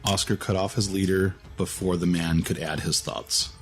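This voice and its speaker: A man's voice: sits low, fairly even intonation, normal speed, soft background music. A man reading a scripted story as its narrator.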